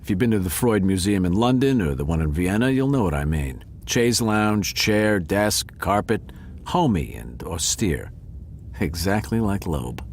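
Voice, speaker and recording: Wry, low-voiced cat narrator. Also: male voice, lively intonation, unhurried, darkish tone, a little hiss or hum. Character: male cat narrator, wry, smitten, noir detective-story storyteller. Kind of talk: scripted audio drama narration, noir.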